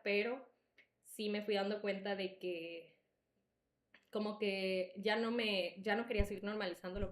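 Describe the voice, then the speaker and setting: The voice is a young woman's, set at an average pitch in a clearly echoey room. Her Salvadoran accent has taken on a Mexican tinge. She hosts casually, telling personal stories in a candid and emphatic conversational style. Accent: Salvadoran softened by Mexican. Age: young adult. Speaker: podcast host.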